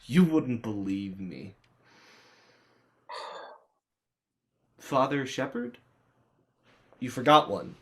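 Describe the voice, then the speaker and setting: A man performs a defiant teenage schoolboy in a dark comic play. The voice is bright and forceful, with big melodramatic swings of pitch. He takes his time, cheeky and sarcastic. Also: lively intonation, slow, slightly rough, heavily acted. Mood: sly. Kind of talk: dramatized play script reading.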